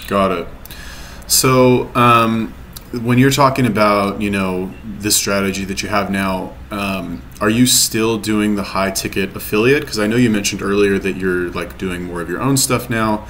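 A man's voice: fairly low pitch, steady tone, measured pace, fairly bright tone, a little hiss or hum. A man in his thirties hosting an interview over a video call, asking questions with hesitant "um"s and "you know"s. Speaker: interview host. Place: home room indoors. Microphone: video call webcam.

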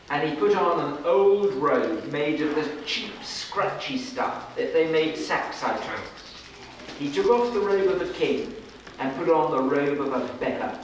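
A middle-aged man reading a scripted story aloud to children in a dramatic, deliberate way with pauses. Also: a little high. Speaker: storyteller at a children's puppet show. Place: children's classroom-like room, indoors. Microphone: far camera. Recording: echoey room, distant camera mic, noisy.

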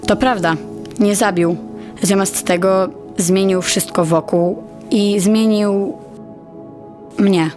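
A woman's voice: medium pitch, steady tone, slow pace, long pauses, close to an everyday voice. A young actress playing an insecure teenage girl who narrates her inner thoughts as a confession in an audio drama. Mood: rueful. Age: teens to young adult. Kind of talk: introspective first-person dramatic narration. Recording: music under the voice.

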